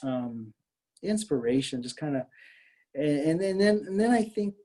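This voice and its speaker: Mellow-voiced American man, hesitant talker. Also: fairly high pitch, expressive, moderate pace. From Miami area, Florida, US. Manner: hesitant, rambling, with many hedges and repeated words. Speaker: artist interviewed as guest. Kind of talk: casual conversational interview.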